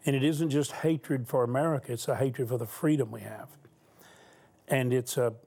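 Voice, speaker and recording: Man, talk show host, earnest, preacherly, mid-pitched voice. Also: steady tone, normal speed, creaky, dark tone. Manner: earnest, preacherly, asks pointed questions.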